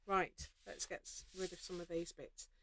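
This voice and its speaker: Low-voiced woman teaching stitching live. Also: fairly even intonation, moderate pace, pushed and bright, a little hiss or hum, low-quality recording. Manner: practical, reassuring, thinks aloud while stitching. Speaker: host of a live stitch-along. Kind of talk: casual live craft demonstration.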